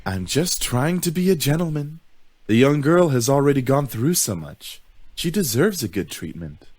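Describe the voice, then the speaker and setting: Casting: smooth-talking, vain incubus for visual novel, teasing flirt, animated intonation, dry sound. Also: male voice, mid-range voice, normal speed, dry recording. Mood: reproving. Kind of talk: visual novel game acting.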